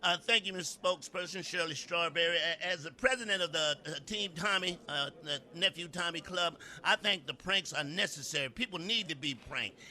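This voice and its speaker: Sharp, forceful high-voiced man. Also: brisk, few pauses. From Los Angeles, California. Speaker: radio show comedian co-host. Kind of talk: comic radio banter.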